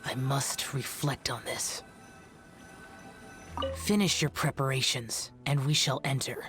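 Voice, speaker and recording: An actor playing an aloof, solemn, ancient demon slayer in a video game, speaking gravely and formally. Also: male voice, medium pitch, steady tone, takes it slowly, over music, close to an everyday voice. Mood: brooding. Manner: terse, grave, formal wording. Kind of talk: English video game voice acting.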